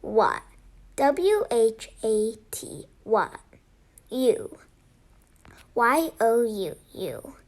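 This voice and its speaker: Slow, high-voiced female spelling presenter. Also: big pitch swings. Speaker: sight word presenter. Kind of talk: spelling lesson for children.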